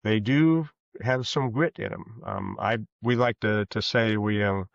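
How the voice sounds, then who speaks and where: A man with a low, gravelly voice talks as a guest in an interview. He feels his way through sentences, pausing and restarting. The sound is close and dry but slightly dull. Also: dry recording. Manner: hesitant, halting, self-correcting. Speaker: guest author. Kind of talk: conversational interview answers.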